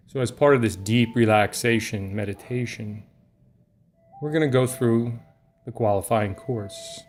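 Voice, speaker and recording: Slow, low-pitched man guiding meditation. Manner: soothing, hushed lulling delivery with long pauses. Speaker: guided meditation narrator. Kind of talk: guided relaxation meditation, scripted.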